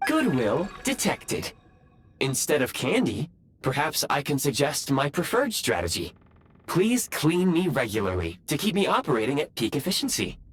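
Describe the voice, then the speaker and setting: A man's voice: fairly high pitch, big pitch swings, moderate pace, background noise. A man voicing a self-aware pair of talking goggles in a video game, speaking formally and precisely. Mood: polite.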